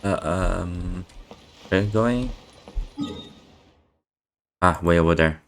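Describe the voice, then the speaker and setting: A man streaming a game, mumbling casual low asides as he plays. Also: very slow, slight background noise. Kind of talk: casual muttered commentary.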